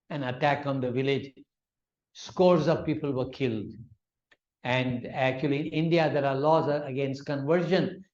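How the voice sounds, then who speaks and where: A man's voice: a little high, a little mellow, highs cut off. A man whose first language is Urdu, lecturing on law in an explanatory way with examples and frequent fillers. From Malaysia. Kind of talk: academic lecture.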